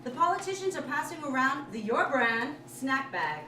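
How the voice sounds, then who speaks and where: A woman reads scripted stage directions in a high, very animated voice. She is recorded from a distance in an echoey room. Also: normal speed. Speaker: narrator reading stage directions. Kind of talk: scripted narration at a staged reading. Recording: distant, echoey live room with clear noise.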